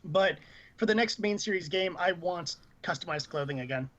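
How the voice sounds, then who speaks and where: High-pitched male podcast co-host, opinionated rambling gamer chat, muffled lo-fi audio. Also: lively intonation, moderate pace, a bit bright. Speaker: podcast co-host, gaming news reporter. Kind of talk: casual panel chat about video games.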